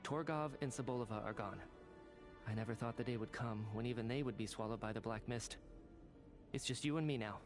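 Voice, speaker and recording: An actor playing a resolute survivor leader in a video game, stern, philosophical and exhorting. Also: male voice, mid-range voice, brisk, over music, close to an everyday voice. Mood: solemn. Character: resolute male survivor leader urging others onward. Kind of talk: dramatic video game voice acting.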